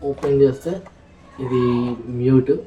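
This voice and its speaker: Mellow, halting Telugu man vlogging. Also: mid-range voice, flat delivery, slight background noise, poor audio. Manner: hesitant, halting, slips English words into speech. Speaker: vlogger presenting a product unboxing. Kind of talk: casual unscripted product walkthrough.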